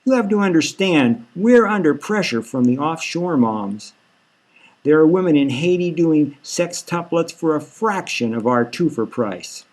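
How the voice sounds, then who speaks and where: A man's voice: a little high, expressive, measured pace, a little mellow. A man voicing a slick, patronizing male boss in a satirical audiobook, with a folksy, cajoling hard-sell manner. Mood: blunt hard sell. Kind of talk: satirical audiobook character reading.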